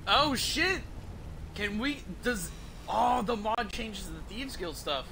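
A man's voice: high voice, expressive, slow pace, pushed and bright. A man giving casual, informal commentary as he plays and hosts a gaming video. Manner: informal, sweary. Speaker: gaming video host, player. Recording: faint background noise.

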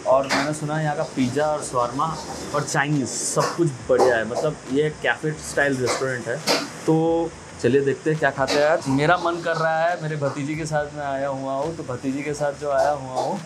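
A man's voice: on the high side, background noise. A man in his thirties reviewing food in a casual, chatty way, mixing in English phrases, on a lapel mic in a restaurant. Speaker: food vlogger. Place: small restaurant indoors, later busy street outside.

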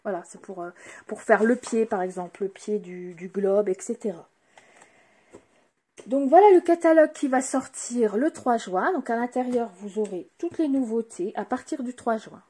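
Husky-voiced woman demonstrating craft products. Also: on the high side, dark tone. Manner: hesitant, many filler pauses, showing items. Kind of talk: casual product presentation.

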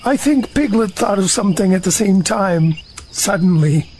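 A woman playing a naive, amiable, slow-witted male bear with an earnest, halting manner in a children's storybook audio drama. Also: mid-range voice, expressive, darkish tone, slight background noise, close to an everyday voice. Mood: distracting, innocent.

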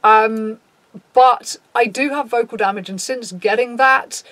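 Woman, 30s, low raspy voice; blunt, sweary talk to camera, dry sound. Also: a little slow, fairly bright tone, dry recording, low-quality recording. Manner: frank, swears freely, reads out a list and reacts. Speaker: YouTuber. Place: small dim room, indoors.

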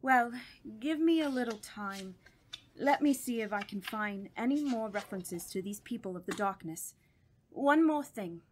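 A woman playing a grave, scholarly doctor of the supernatural in an audio drama, with a formal, deliberate and ominous manner. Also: on the high side, lively intonation, a little hiss or hum, low-quality recording. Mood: grave warning.

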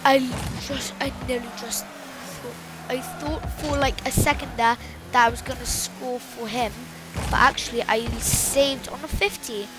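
Woman, high voice, loud and excitable gameplay commentator, game music underneath. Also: unhurried. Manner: loud, excitable, exclaims often.

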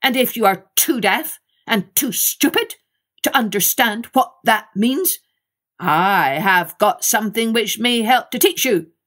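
A woman's voice: fairly low pitch, expressive, a little slow. Woman playing bossy, grumbling Father Grumbler. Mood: angry. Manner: loud, commanding, scolding.

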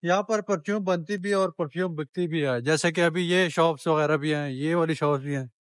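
A man's voice: on the high side, brisk, no echo, studio quality. A man giving a chatty walking-tour vlog of a street market, talking straight to viewers and bargaining with shopkeepers as he films. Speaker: travel vlogger.

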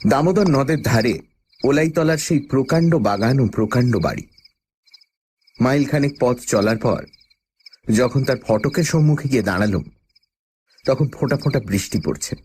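A man playing a proud, plucky traveller who narrates his own ghost story with expressive, deliberate delivery. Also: mid-range voice, slight background noise, natural voice. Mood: suspenseful. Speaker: first-person narrator. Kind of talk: dramatic audio story narration.

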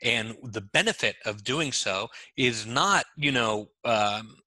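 Brief: forceful, bright-voiced author in his 40s, headset mic, dry and close. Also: mid-range voice, animated, slow pace, no room sound, low-quality recording. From born in Israel, raised in Los Angeles. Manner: emphatic, insistent, talks with his hands. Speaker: guest, author and creativity expert. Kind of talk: animated interview conversation. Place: home room with bookshelves. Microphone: headset on a video call.

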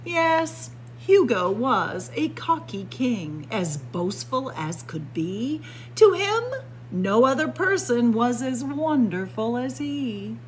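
Female library storyteller for picture-book read-aloud. Expressive swoops, deliberate and unhurried, slight room noise. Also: fairly high pitch, rough recording. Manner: theatrical, deliberate storytelling delivery. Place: indoor library room with bookshelves.

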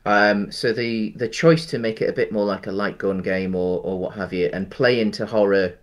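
A man's voice: lowish voice, steady tone, normal speed. A man in his thirties co-hosting a casual film chat over a headset on a video call from home, rambling with many restarts and fillers. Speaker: podcast co-host.

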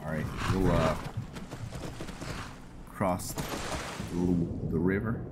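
Slow, deep-voiced man thinking aloud. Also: very noisy. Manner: low-key, thinking aloud. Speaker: gameplay host. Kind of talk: casual video game commentary. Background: music.

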